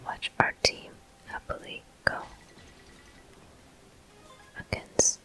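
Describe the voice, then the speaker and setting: Slow, bright ASMR whisper. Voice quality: breathy, unvoiced whisper. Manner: gentle, unhurried, short soft phrases. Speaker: host of a gaming ASMR video. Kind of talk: whispered ASMR gameplay commentary.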